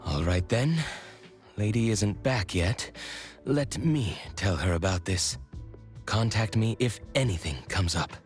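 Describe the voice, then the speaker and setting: A polite and earnest video game teammate. His lowish voice moves with very lively intonation, over faint music. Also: measured pace. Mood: concerned. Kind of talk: scripted video game voice acting. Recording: music behind the voice.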